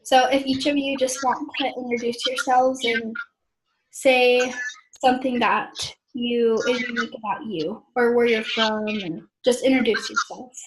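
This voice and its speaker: A young American woman casually facilitating a small-group discussion, often clearing her throat. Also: a little high, steady tone, a little slow, noisy background. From Idaho, United States. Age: young adult.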